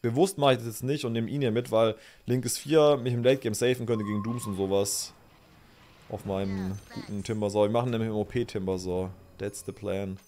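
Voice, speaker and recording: Young man, casual stream commentary, slightly low voice, faint background hiss. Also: steady tone, fairly quick, warm tone. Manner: chatty, thinks aloud, thanks viewers. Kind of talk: casual game commentary to chat. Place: small indoor room.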